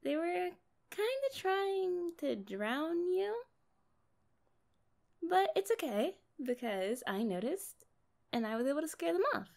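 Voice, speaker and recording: A woman's voice: high voice, creaky, exaggerated voice. A woman voicing a kind, naive young mermaid in an ASMR roleplay, playful and chatty as she speaks straight to the listener. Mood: chatty, reassuring. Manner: playful, chatty, expressive, drawn-out phrasing.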